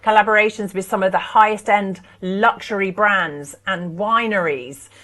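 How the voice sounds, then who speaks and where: Lady hyping up artwork for buyers, eager, persuasive, medium pitch. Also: moderate pace, a bit bright. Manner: enthusiastic persuasive selling. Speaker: auction presenter. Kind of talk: live sales presentation.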